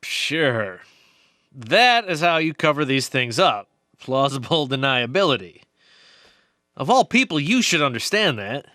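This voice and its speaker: Actor playing knowing male scientist, forceful, slightly high, emphatic, insistent, dry audio drama sound. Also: sing-song, unhurried, no echo. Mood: knowing, cynical. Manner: emphatic, explanatory. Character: insistent, knowing research biologist and cover-up believer.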